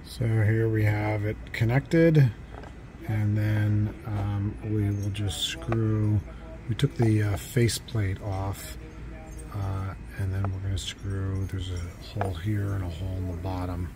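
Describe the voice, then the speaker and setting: A man's voice: lowish voice, level tone, noisy background. A man narrating a home how-to video, hesitant with frequent fillers, recorded on a handheld phone. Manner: halting, many fillers and restarts. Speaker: DIY home video host. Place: indoors and at a house's front door. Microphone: handheld phone camera, speaker off screen.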